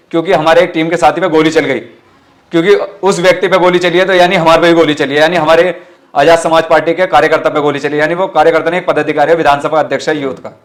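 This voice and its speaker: Higher-pitched man, earnest political activist. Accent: western Uttar Pradesh. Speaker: political party activist, interviewee. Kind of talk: interview answers with political rhetoric.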